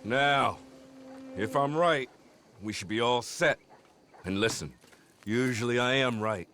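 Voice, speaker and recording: An actor playing a determined American outlaw organiser in a western video game, plain-spoken and giving instructions. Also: male voice, mid-range voice, takes it slowly, pushed and bright, slight background noise. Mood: confident, wry. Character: rugged, determined Old West outlaw gang organiser.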